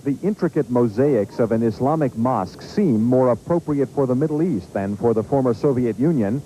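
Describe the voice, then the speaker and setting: Mellow American male news correspondent. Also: medium pitch, lively intonation, normal speed, slight background noise, poor audio. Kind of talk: scripted report narration.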